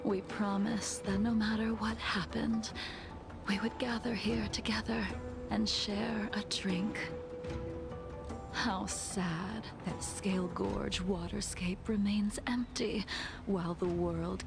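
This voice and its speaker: Solemn, creaky-voiced fallen swordswoman, music behind. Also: mid-range voice, fairly even intonation. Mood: mournful, resigned. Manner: slow, measured, grave and formal delivery. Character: solemn, sorrowful swordswoman, a centuries-old condemned sinner. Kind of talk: dramatic video game voice acting.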